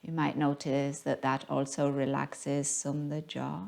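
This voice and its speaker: A woman guiding a yoga class as the teacher, speaking in a gentle, unhurried, soothing manner. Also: extremely low pitch, little pitch movement, creaky. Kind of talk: guided yoga class instruction.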